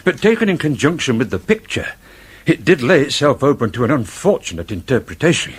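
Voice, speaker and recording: A man's voice: mid-range voice, sing-song, a little fast, breathy edge. A man acting in a radio drama as a fussy, self-righteous older copywriter, pompous and precise, with an English accent. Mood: pompous, defensive.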